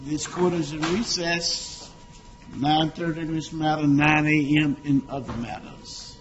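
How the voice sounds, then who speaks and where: A man serving as a trial judge, giving measured, procedural instructions to a jury in a courtroom. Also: a little high, fairly even intonation. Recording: dull courtroom audio with faint hiss.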